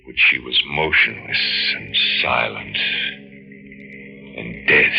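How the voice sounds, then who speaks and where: An older fishing skipper in a vintage radio thriller, his voice low and swinging widely in pitch. He is intense, halting and haunted by guilt, a jealous, pleading husband heard on a dull old recording. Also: vocal fry, heavily acted. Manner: intense, halting, dramatic delivery. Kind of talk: 1940s radio acting, first-person narration and dialogue.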